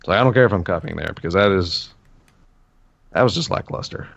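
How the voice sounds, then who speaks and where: A man's voice: low-pitched, fairly quick, vocal fry, fairly bright tone, no room sound, muffled recording. A man co-hosting a casual gaming podcast, rambling with many fillers and restarts. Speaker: podcast co-host.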